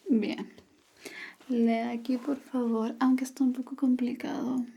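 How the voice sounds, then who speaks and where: A woman whispering softly and teasingly, quizzing the listener on Chilean slang in an ASMR roleplay. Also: fairly high pitch, gravelly, slight background noise. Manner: slow, soft, teasing like a teacher. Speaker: Spanish slang teacher.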